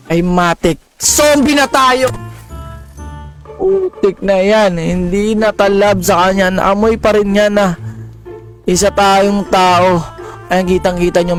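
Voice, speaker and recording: A Filipino man hosting casual, jokey gameplay commentary, speaking straight to his viewers. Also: high-pitched. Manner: jokey, addresses viewers directly. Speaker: gaming content creator, host.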